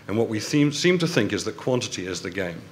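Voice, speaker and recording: A man arguing forcefully on a debate panel, driving points home by repeating them. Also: on the low side, very animated, fast talker, a little hiss or hum. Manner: forceful, emphatic repetition. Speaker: panelist, restaurateur.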